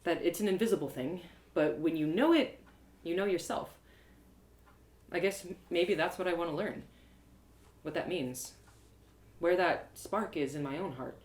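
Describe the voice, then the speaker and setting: A woman's voice: lowish voice, moderate pace, long pauses, lightly acted. A woman playing a plucky but self-doubting young adventurer girl in a fantasy audio drama, musing hesitantly. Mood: hesitant, wistful. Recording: roomy home live reading.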